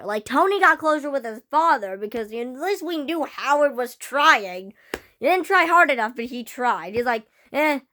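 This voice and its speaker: A teenage girl ranting casually about a film to camera, rambling with many fillers, her voice slightly hoarse. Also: on the high side, bright, forceful tone. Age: teens. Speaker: vlogger. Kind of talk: casual fan rant to camera.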